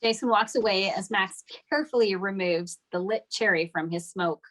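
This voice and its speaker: Mid-pitched woman reading stage directions. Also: normal speed, fairly bright tone. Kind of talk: scripted narration at a table read.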